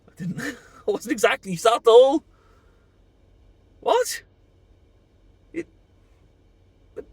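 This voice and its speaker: A man's voice: high voice, no echo, heavily acted. A British man acting a heartbroken, newly separated friend in an intimate audio roleplay, stammering and self-deprecating as he shyly confesses an old love. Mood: fond, nostalgic. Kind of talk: intimate audio roleplay, naturalistic monologue to the listener.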